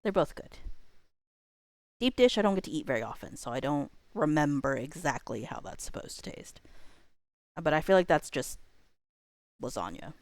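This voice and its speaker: A woman's voice: deep voice, very animated, normal speed, pauses often, dry recording. A woman hosting a livestream, chatting casually to viewers in a joking way with wandering asides. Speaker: livestream host.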